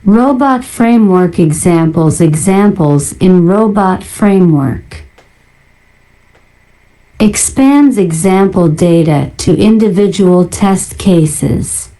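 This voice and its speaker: A woman narrator doing a deliberate ASMR-style read-out of listed items in a flat manner. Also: fairly low pitch, rough recording. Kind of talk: ASMR reading of a list of software libraries.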